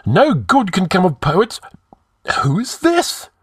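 Shrill, flustered old counselor. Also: male voice, wildly swooping pitch, slow pace, husky, dry recording, clean studio sound, full character voice. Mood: nervous. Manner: gibbering, wailing, fussy. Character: fat, flustered old male royal counselor.

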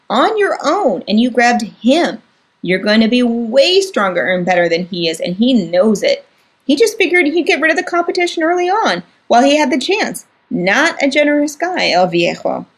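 A woman voicing an irritable, tough teenage girl who talks to her younger brother in a sharp, exasperated way. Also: a little high. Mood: heated.

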